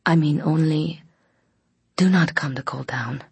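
A woman's voice: low-pitched, a little slow, darkish tone, breathy edge, no echo, full character voice. A woman voicing a brooding male vampire who speaks in an oddly formal, old-fashioned way in an audiobook story. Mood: earnest, pleading. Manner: oddly formal, ornate speech.

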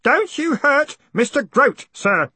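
A man's voice: fairly high pitch, sing-song, normal speed, a bit bright, breathy edge, dry recording, pitched above the performer's own voice, exaggerated voice. A man voicing an odd, earnest and polite boy in an audiobook, with a precise, pedantic way of talking. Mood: tense, warning.

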